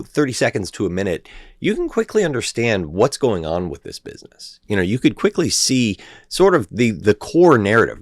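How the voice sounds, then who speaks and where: A man with a fairly low voice, slightly congested from a head cold, walks through a company's numbers as an investing host. His delivery is emphatic and animated, swinging widely in pitch. The sound is dry and close, with no room echo. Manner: analytical, emphatic. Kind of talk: stock analysis commentary.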